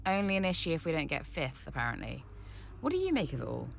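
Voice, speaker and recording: Headstrong young female racer, very expressive. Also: sits low, fast talker, creaky, slight background noise, full character voice. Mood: weary, wry. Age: young adult. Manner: emotional, blunt, sardonic. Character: headstrong young female racing driver, frustrated and wounded. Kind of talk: dramatic video game cutscene acting.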